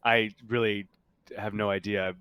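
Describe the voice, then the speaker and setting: A man acting as game master in a tabletop roleplaying game, speaking haltingly in character. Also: on the low side, level tone, fairly bright tone, smooth, dry recording.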